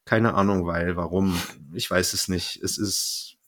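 A man's voice: low voice, steady tone, measured pace, a bit bright. Rambling man in podcast chat. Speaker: podcast host.

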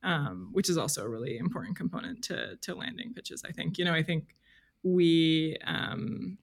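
A woman's voice: low-pitched, expressive, moderate pace, vocal fry. A woman speaking as an interview guest in casual conversation, thoughtful and hesitant as she works toward her point. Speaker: podcast guest, freelance journalist.

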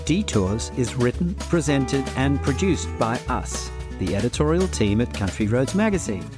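Man, animated intonation, scripted podcast outro, loud guitar backing. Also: mid-range voice, normal speed. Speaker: podcast host and magazine editor. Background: loud guitar music.

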